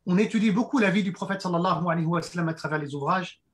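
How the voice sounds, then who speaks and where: A hoarse man giving an earnest fundraising presentation, halting with frequent fillers and restarts. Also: sits high. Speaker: project presenter.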